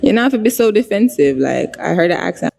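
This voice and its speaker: A woman's voice: fairly low pitch, sing-song, fast talker, gravelly, warm tone. A Jamaican woman on a dating show, asking direct, teasing questions. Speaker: dating show contestant, nurse. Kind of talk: unscripted dating show chat.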